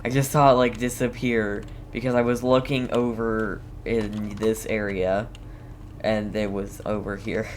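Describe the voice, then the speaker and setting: A man's voice: lowish voice, flat delivery, a little slow, a little hiss or hum. A man giving casual let's play commentary with dry, offhand reactions. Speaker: gamer playing through a video game.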